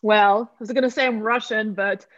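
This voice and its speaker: A woman's voice: medium pitch, steady tone, moderate pace, dry recording, dull audio. A woman from Latvia with a Latvian accent, a guest chatting frankly and cheerfully over a video call, calling her listeners friends. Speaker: guest, real estate agent. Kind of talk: casual podcast chat over video call.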